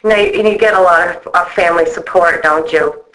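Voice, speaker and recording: A woman's voice: lowish voice, normal speed, fairly bright tone, a little hiss or hum, rough recording. A woman asking questions in a casual phone interview, in an informal, chatty way.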